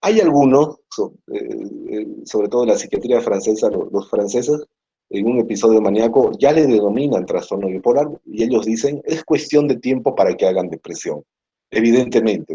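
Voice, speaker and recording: Low-voiced Peruvian lecturer, muffled. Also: male voice, mellow, husky. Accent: Peruvian Spanish. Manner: explanatory, frequent tag questions. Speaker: psychiatry lecturer. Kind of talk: university lecture. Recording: muffled lo-fi classroom recording.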